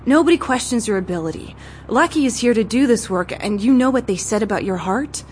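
A man doing a young daughter, pleading, anxious, fairly high voice. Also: animated, a little fast, a little mellow, slight background noise, lightly acted. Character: young woman pleading with her stubborn father.

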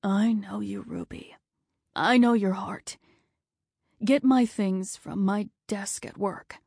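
A woman voicing a gentle, dying older husband in a soft, breathy whisper. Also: medium pitch, slow, no echo, clean studio sound. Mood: tender.

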